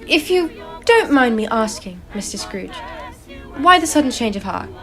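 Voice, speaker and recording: A woman's voice: on the high side, sing-song, normal speed, soft background music. A teenage girl playing a curious, good-humoured young wife in a school stage play. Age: teens.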